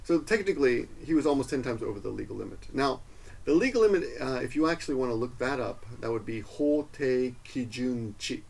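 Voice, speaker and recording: A man with a gravelly, husky voice talks through a casual language lesson. He rambles, stops to correct himself and fills gaps with ums and uhs. His delivery is relaxed and explanatory, like a teacher recording at home. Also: medium pitch, fairly quick. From Peru. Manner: rambling, self-correcting explanations with many fillers.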